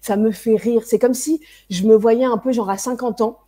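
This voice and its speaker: A woman's voice: on the high side, steady tone, normal speed, mellow. A woman in her thirties giving parenting advice in a live stream, hesitant, with many fillers and restarts. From France. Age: 30s. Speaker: parenting coach.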